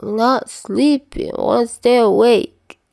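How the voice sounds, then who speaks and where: A woman's voice: a little high, unhurried, creaky, a little mellow, dry recording, studio quality, voice pushed up. A woman voicing a sedated, childlike teenage boy in an audiobook story, whiny and easily awestruck. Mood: pleading.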